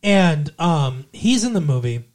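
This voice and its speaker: A man's voice: a little high, very animated, measured pace, a little mellow. A man co-hosting a podcast, casually talking through a film's plot and rambling with frequent restarts. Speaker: podcast co-host. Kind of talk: casual movie review chat.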